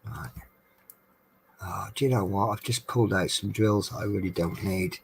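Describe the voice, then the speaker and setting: A man's voice: deep voice, normal speed, vocal fry, a little hiss or hum. A man with a Norfolk accent, chatting casually and rambling with lots of ums while doing a craft at home. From England. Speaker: vlogger.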